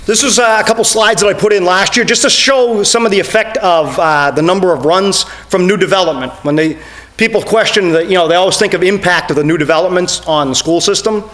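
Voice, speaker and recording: A man's voice: sits high, fairly quick. Middle-aged man presenting, voice wearing thin. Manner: steady explanatory, many "uh" fillers. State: losing his voice. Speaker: fire chief presenting budget. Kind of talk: committee presentation. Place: town meeting room.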